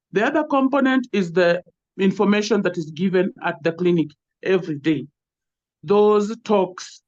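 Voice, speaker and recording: A Kenyan woman speaks over a video call as a panelist, walking through her points one by one in an earnest, explaining way. Her voice sits at a middle pitch. The audio is dull and lo-fi. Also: a little mellow. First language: Luo. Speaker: webinar panelist. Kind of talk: online panel talk.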